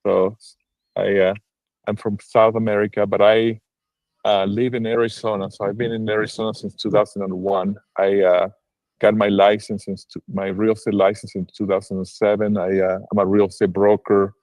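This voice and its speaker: A lowish-voiced man from Argentina, speaking on a video call in a dry, close sound. He talks about his work as a broker in a halting way, full of restarts and fillers. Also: little pitch movement, a little mellow, no room sound, poor audio. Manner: hesitant, many restarts and fillers. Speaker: real estate broker and investor. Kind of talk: casual livestream call-in chat.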